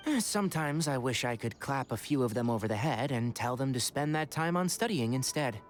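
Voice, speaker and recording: A man's voice: medium pitch, lively intonation, fairly quick, soft background music, lightly acted. An actor playing a composed, sensible young male scholar in a video game, articulate and wry as he explains things.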